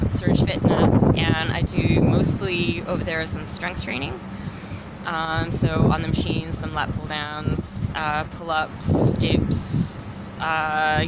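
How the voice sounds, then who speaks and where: A young Australian woman hosting a casual livestream, hesitant with lots of ums, her voice going hoarse. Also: deep voice. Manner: hesitant, many ums, greeting viewers. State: losing her voice. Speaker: livestream host. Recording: loud background noise.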